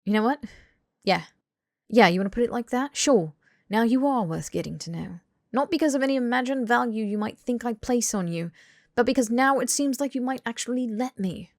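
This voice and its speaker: A woman's voice: fairly high pitch, lively intonation, brisk, darkish tone, no room sound, studio quality, pitched above the performer's own voice. A woman voicing an earnest, blunt young British man in audiobook dialogue, emphatic and pleading. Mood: exasperated, heated. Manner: emphatic, sweary, rambling tirades.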